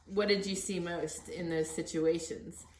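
A woman's voice: lowish voice, steady tone, a little slow, a little mellow, slight background noise. A middle-aged woman hosting a podcast interview in an earnest, encouraging, conversational way. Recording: echoey untreated room.

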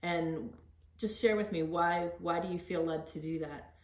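A woman with a fairly low voice talks as a podcast host in a very roomy, echoey space. She is earnest and encouraging, speaking conversationally as she interviews. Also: a little slow.

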